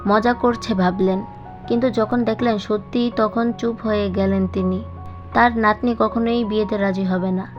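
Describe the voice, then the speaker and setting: A woman's voice: medium pitch, fairly even intonation, moderate pace, dull audio. A woman reading a scripted dramatic story as an audiobook narrator. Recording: slightly band-limited, music bed behind. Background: background music.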